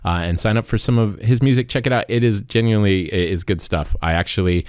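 A man's voice: fairly low pitch, quick pace, smooth, no echo. Upbeat male podcast host, dry sound. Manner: upbeat, conversational with frequent fillers. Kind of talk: music marketing podcast.

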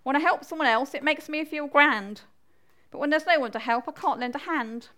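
A woman's voice: sits high, brisk, sharp tone, pitched above the performer's own voice. A woman voicing a brave, kind male mouse, emphatic, in a rhyming children's story. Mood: downcast.

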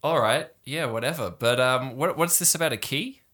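A man's voice: mid-range voice, normal speed, bright, forceful tone, voice pushed up, natural voice. A man voicing a laid-back, image-conscious teenage camp boy with hesitant, slangy speech. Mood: laid-back, evasive.

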